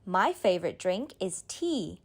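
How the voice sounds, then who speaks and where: Slow, lively woman reading drill lines. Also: fairly low pitch. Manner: repeats each line slowly and clearly. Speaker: model speaker in a language drill.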